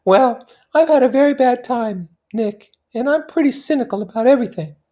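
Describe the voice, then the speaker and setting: A man's voice: very high-pitched, expressive, normal speed, darkish tone, pitched above the performer's own voice. A man voicing a dramatic, cynical and flighty young society woman in a theatrical, gushing way from a classic novel. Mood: cynical, wounded.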